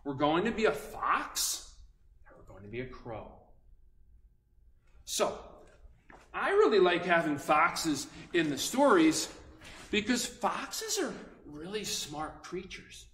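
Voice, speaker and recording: A man working as a children's storyteller, animated and instructive, pausing between phrases as he invites listeners to act along. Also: high-pitched, slight background noise. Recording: echoey room.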